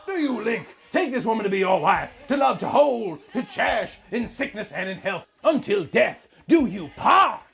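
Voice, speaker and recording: A man playing an over-dramatic wedding preacher, loud and bombastic, declaiming in drawn-out theatrical phrases for a comic reading. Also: high-pitched, sing-song, creaky, soft background music, voice pushed up, exaggerated voice. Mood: bombastic, solemn.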